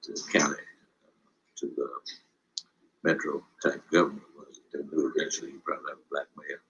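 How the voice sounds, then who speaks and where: Man, very deep voice, bright tone, rambling, reflective, muffled, faint hiss. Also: little pitch movement, brisk, creaky, slightly rough. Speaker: author. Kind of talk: interview.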